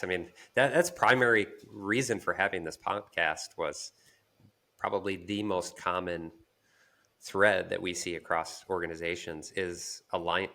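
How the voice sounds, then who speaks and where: Male podcast co-host, deep but bright, forceful voice, explanatory delivery with halting repetitions. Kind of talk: casual conversational discussion.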